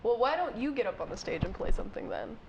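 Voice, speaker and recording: High, animated woman at a game table. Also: quick pace, creaky, dull audio, background noise. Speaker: player.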